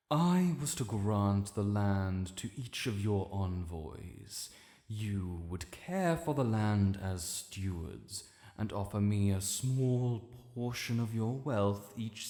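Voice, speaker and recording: A man's voice: lowish voice, dark tone. A man with a British accent playing an ancient, proud male dragon lord in a fantasy audio roleplay, speaking formally and imperiously to the listener. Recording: roomy with long cavernous reverb.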